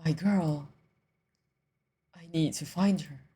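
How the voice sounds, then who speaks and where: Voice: medium pitch, slow pace, mellow, breathy edge. A streamer voicing a grieving, wrathful harvest goddess in a visual novel, dramatic and stern. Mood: anguished.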